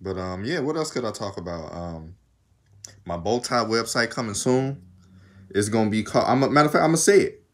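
Young Southern vlogger, low-pitched, talkative and laid-back, speaking into a phone at arm's length. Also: male voice, animated, brisk. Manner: rambling, talkative, laughs often. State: arms tired while undoing braids. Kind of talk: casual chat to camera. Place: small room indoors. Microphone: phone camera at arm's length.

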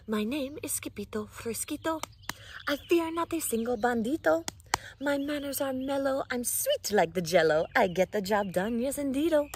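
A woman's voice: high-pitched, lively intonation, normal speed, a little hiss or hum, pitched above the performer's own voice. Woman doing a bouncy kitten boy. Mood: sing-song. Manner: bouncy, sing-song. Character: excitable young boy kitten.

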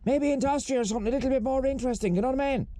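A man's voice: very high-pitched, rapid-fire, dark tone. A man voicing a cheeky, chummy young Irish sidekick who chats in a whiny, easily bored way. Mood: bored, wheedling.

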